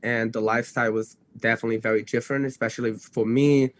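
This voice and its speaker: A man's voice: mid-range voice, fairly even intonation, fast talker, a little hiss or hum. A young man from Brazil, a native Portuguese speaker, telling his own story casually as a podcast guest, rambling and often correcting himself. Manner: rambling, self-correcting, frequent "you know" and "like". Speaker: podcast guest, dog trainer.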